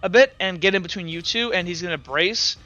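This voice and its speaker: A man's voice: high-pitched, a little fast, dull audio, music in the background. A young man running a tabletop game as game master, rambling with fillers and self-corrections.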